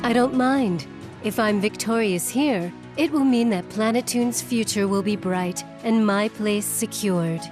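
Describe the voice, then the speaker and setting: A self-assured warrior goddess played by a woman with a mid-pitched mature voice. She speaks with cool, haughty composure and formal phrasing over game music. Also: measured pace, lightly acted. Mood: composed, confident. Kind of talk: dramatic anime game acting.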